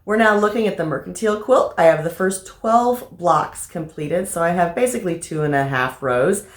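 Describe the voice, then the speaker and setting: Slightly raspy woman chatting about projects. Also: fairly low pitch, lively intonation, moderate pace. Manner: chatty, frequent "um" fillers. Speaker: crafting vlogger. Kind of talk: casual show-and-tell vlog. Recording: echoey room.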